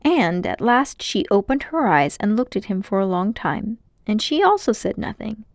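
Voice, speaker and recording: A woman reading a children's story aloud as narrator, in an expressive storytelling style. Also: mid-range voice, normal speed, vocal fry, dry recording, studio quality.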